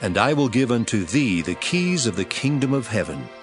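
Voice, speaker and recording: A solemn, authoritative master , played by a man, declaims in a scripture drama. His medium-pitched voice moves expressively up and down. Music plays behind his deliberate teaching. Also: sing-song, natural voice. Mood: solemn, instructive.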